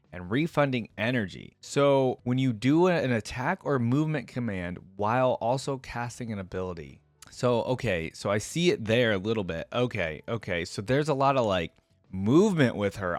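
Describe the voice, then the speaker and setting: A man's voice: mid-range voice, no room sound. Casual male reactor with a dry close sound. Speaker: host reacting to a video. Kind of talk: casual reaction commentary, partly reading aloud.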